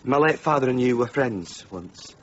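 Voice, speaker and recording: An actor playing a young village blacksmith, earnest and pleading, speaking softly in a radio play. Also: male voice, mid-range voice, animated, normal speed. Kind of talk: radio drama acting. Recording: muffled lo-fi radio recording.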